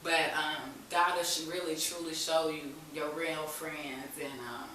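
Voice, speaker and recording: A woman's voice: low-pitched, little pitch movement, measured pace, sharp tone. An American woman telling her personal story candidly as a guest, in earnest, colloquial, emphatic talk where she repeats herself. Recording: echoey room, distant mic, faint hiss.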